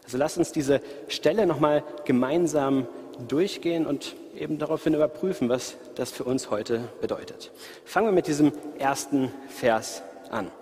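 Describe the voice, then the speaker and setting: A man from the Ruhr area with a light Ruhr accent, preaching in a relaxed, conversational way and talking straight to his listeners. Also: a little high, moderate pace. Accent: light Ruhr German. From Ruhr area, Germany. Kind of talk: sermon. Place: church hall, live audience and online stream. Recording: reverberant hall.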